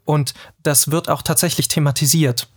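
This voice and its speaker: A man's voice: on the high side, steady tone, normal speed, dry recording, studio quality. A man in his forties in a casual panel discussion, talking with many hesitations and filler sounds. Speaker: podcast panelist.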